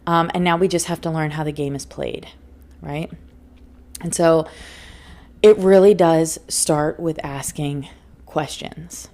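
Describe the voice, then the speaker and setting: A woman's voice: low voice, fairly even intonation, slow, long pauses, a little mellow. A woman hosting a solo spiritual self-help podcast, speaking earnestly and emphatically, often laughing and repeating phrases for emphasis. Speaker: podcast host and life coach. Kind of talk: solo motivational podcast talk.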